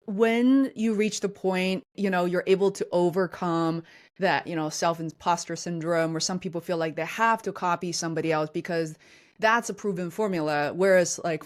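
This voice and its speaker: A woman hosting an interview podcast, asking long, enthusiastic, rambling questions full of "you know". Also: lowish voice. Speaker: podcast host.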